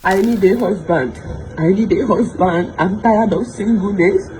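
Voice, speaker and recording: A woman's voice: mid-range voice, unhurried, warm tone, a little hiss or hum, poor audio. A woman in her late thirties, pleading over and over in a social media video. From Nigeria. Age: 30s. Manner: repetitive, pleading.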